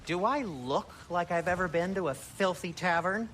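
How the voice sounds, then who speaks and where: A prim, squeamish dwarf smith. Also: male voice, sits high, a bit bright. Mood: fussy. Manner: fastidious, fretful and prim. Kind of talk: video game cutscene acting. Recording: game audio with clear ambient noise.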